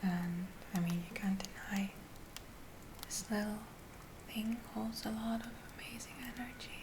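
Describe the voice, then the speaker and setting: A woman performing a soft-spoken, whispered ASMR roleplay as a kind witch healer, soothing and gentle, lingering on her words. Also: mid-range voice, a little slow, noisy background.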